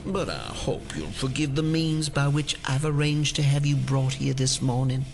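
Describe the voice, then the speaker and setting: A man playing a solemn, menacing older conspiracy leader in an audio drama, speaking in a formal, deliberate and ominous way. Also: mid-range voice, gravelly, over music, lightly acted. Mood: coldly polite.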